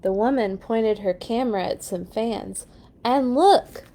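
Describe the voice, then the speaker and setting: A woman reading a children's story aloud in an expressive, playful way for young listeners. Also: fairly high pitch, measured pace. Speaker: children's read-along storyteller. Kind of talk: storybook read-aloud with animated asides.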